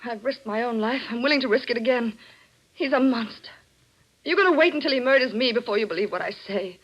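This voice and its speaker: A woman's voice: mid-range voice, moderate pace, slightly rough, lightly acted. An actress playing an anxious but determined wife who suspects her husband, earnest and dramatic in a 1940s radio mystery. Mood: urgent, pleading. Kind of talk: 1940s radio mystery acting, first-person dramatic narration. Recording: muffled old radio recording with music.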